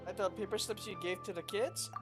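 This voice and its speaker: A woman's voice: medium pitch, fairly even intonation, fairly quick, over loud music. A woman game streamer talking casually and reading dialogue aloud during a playthrough.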